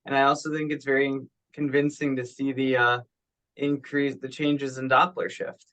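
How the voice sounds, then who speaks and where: A man's voice: mid-range voice, level tone, moderate pace, a bit bright, muffled recording. A man talking casually in an online conference call, discussing work as a participant. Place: teleconference.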